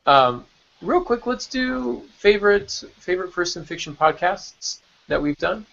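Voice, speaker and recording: A man's voice: sits high, expressive, a little slow, vocal fry, a bit bright, highs cut off. A man hosting a podcast in a casual, enthusiastic and encouraging way. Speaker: podcast host.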